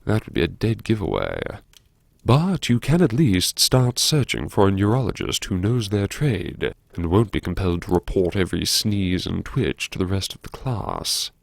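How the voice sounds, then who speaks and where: A man performing a first-person audiobook monologue as a brooding, wry character who anxiously lectures himself in a theatrical, musing way with dramatic pauses. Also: low voice, very animated, gravelly, dry recording, exaggerated voice. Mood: wry, deliberating. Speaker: audiobook narrator.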